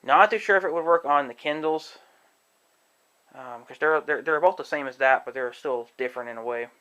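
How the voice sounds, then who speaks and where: A man giving a casual, rambling demonstration to viewers, halting and thinking aloud as he talks. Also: fairly high pitch, sharp tone, clean tone. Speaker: amateur video creator demonstrating an app. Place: indoors in own room at home.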